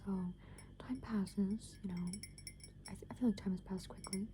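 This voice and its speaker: Girl in her 20s doing whispered asmr rambling, super hushed, thinking out loud, noticeable noise behind her. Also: medium pitch, fairly even intonation, moderate pace. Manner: casual, meandering, thinking aloud. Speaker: ASMR creator. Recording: clearly noisy background hiss and ambience.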